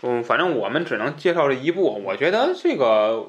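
A man's voice: medium pitch, sing-song, a little fast, dull audio. Colloquial man hosting a casual talk show. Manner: colloquial, chuckles at his own asides. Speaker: podcast host.

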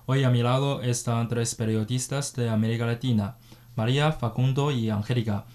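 A male radio host speaks in measured, formal sentences as he puts questions to his guests. His voice is mellow and warm, with a middling pitch. The sound is clean studio radio. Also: steady tone. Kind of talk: radio interview hosting.

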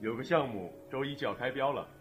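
A man acting a calm, matter-of-fact middle-aged working father in an audio drama. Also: mid-range voice, fast, close to an everyday voice. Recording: music playing behind.

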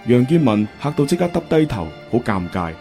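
A male Cantonese radio host with a mid-pitched, very animated voice. He tells the story in an expressive, conversational style over clear background music. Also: brisk. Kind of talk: radio drama narration and casual commentary.

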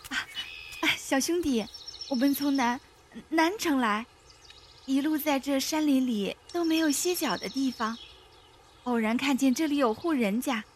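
Woman doing high soft young lady from a chinese period audio drama, soothing and polite, faint noise behind. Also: unhurried. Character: gentle young woman fleeing with a child in her care. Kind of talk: Chinese costume radio drama acting. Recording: faint background noise, outdoor ambience.

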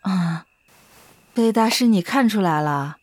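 A woman's voice: mid-range voice, lively intonation, takes it slowly, a little mellow. A woman playing a young female disciple disguised as a boy, polite, questioning and hesitant, in a Chinese period audio drama. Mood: respectful, curious.

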